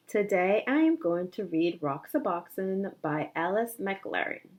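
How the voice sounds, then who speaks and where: Slow-paced woman teacher reading to young children. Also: mid-range voice, breathy edge. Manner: expressive, simple explanatory asides with check-in questions. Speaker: elementary school teacher. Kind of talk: read-aloud storytelling and word lesson for young children.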